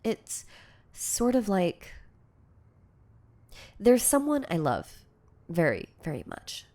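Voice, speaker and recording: A woman playing a shy, insecure, lovestruck girlfriend in an intimate ASMR audio roleplay, speaking in a hesitant, stammering, soft-spoken way. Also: medium pitch, sing-song, takes it slowly. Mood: tender, devoted. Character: shy, insecure, lovestruck yandere girlfriend confessing obsessive devotion.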